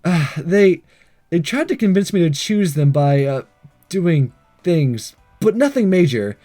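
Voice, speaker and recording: A man's voice: on the high side, lively intonation, unhurried, dark tone. A man hosting a let's play, reading visual novel dialogue aloud in a playful, theatrical way with joking asides. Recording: game music behind.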